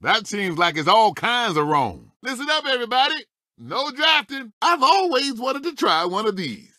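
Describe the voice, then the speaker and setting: An actor playing a feisty old talking race car in a video game, a folksy, cocky veteran racer firing off exclamatory one-liners. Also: male voice, extremely high pitch, big pitch swings, normal speed, bright, forceful tone, no echo, studio quality, full character voice. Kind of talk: animated video game character voice clips.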